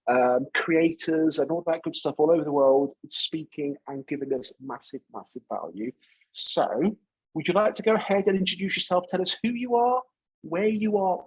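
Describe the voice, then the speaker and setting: A man's voice: fairly high pitch, slightly rough, low-quality recording. A British man hosting a coaching community's livestream, talking in a chatty, rambling, upbeat way. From United Kingdom.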